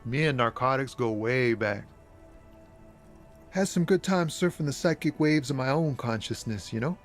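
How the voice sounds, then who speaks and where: An actor voicing a laid-back young street poet and courier, talking in an easygoing, slangy way. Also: male voice, mid-range voice, animated, normal speed, soft background music, lightly acted. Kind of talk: scripted game dialogue.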